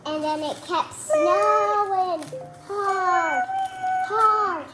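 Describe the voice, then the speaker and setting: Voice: very high-pitched, very slow, over loud music. A young child improvising a story as the storyteller of a puppet show. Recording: noisy homemade recording.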